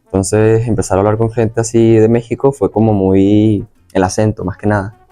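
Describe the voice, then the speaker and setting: A man's voice: fairly low pitch, flat delivery, moderate pace, mellow, soft background music. A young man from Venezuela answering as an interview guest, casually recalling his experiences in a slightly hesitant, circling way. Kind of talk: casual personal recollection.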